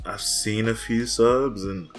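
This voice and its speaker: A man's voice: on the low side, fairly even intonation, slow, faint music behind. A young man co-hosting a podcast in casual chat, laid-back and slangy, laughing often. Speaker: podcast co-host.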